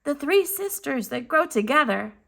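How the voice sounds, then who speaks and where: A woman voicing an eager, curious young child in a storybook, excitable and keen. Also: sits high, moderate pace, gravelly, voice pushed up, full character voice.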